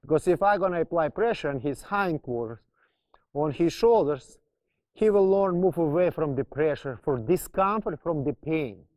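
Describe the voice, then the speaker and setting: Male riding instructor, non-native English speaker, dark mellow tone, explains methodically and drives key terms home by repetition. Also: on the high side, expressive, moderate pace. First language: not English. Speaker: horsemanship trainer and instructor.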